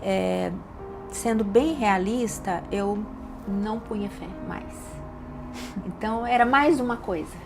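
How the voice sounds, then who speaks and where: A woman's voice: medium pitch, slow, creaky, music in the background. A middle-aged woman with a Brazilian Portuguese accent, a doctor and podcast guest, giving a personal testimony with emotional, emphatic repetitions. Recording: audible background noise.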